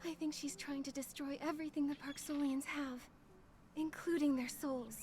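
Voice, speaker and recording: A young fantasy game heroine , played by a woman, with a high and gentle voice. She speaks sincerely and in measured scripted lines. Faint ambient noise sits behind her. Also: steady tone, close to an everyday voice. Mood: worried, grave. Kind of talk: scripted video game dialogue.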